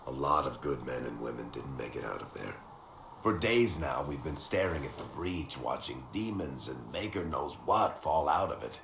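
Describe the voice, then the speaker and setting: An actor playing a wry, worldly storyteller rogue in a video game, talking in a sardonic, conversational way. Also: male voice, very deep voice, lively intonation, normal speed, a bit bright, full character voice. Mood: wry concern. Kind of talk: video game dialogue acting. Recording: roomy echo, clear background noise.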